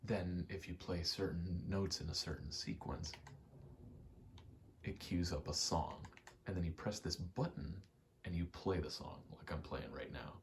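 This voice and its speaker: A soft-spoken man giving rambling ASMR game commentary, halting and casually profane, often slipping into a whisper. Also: deep voice, creaky, a little hiss or hum. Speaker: gaming video creator.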